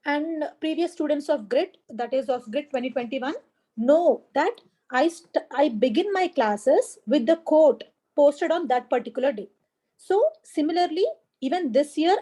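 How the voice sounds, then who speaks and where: A woman's voice: high-pitched, dark tone. A woman with an Indian English accent teaching a live online class on a video call, encouraging and repeating key points while greeting students by name. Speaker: online exam coaching teacher. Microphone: laptop or headset mic on a video call.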